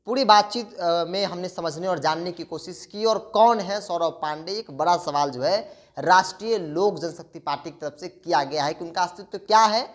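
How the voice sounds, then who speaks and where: A man's voice: high-pitched, a bit bright. A man working as a news interviewer, putting conversational political questions and repeating phrases for emphasis.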